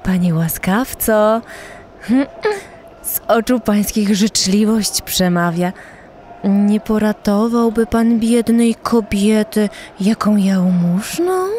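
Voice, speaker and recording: An actress playing a poor young beggar prostitute, coaxing in old-fashioned, coquettish speech in an audio drama. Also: female voice, medium pitch, slow, darkish tone, a little hiss or hum, close to an everyday voice. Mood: pleading.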